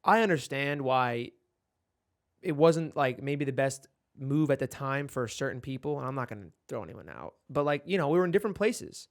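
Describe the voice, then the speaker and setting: A man's voice: medium pitch, lively intonation, normal speed. A man in his twenties co-hosting a podcast, talking casually and rambling with many restarts. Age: 20s. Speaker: podcast co-host. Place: in Los Angeles.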